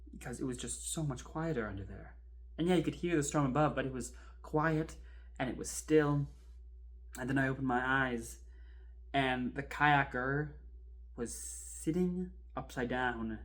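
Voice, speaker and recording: A man's voice: medium pitch, lightly acted. Man acting as a wry, chatty storm survivor. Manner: wry, informal, halting for suspense, swelling then hushed. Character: chatty kayak rescuer recounting an eerie near-drowning. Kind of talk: conversational horror monologue, animated storytelling.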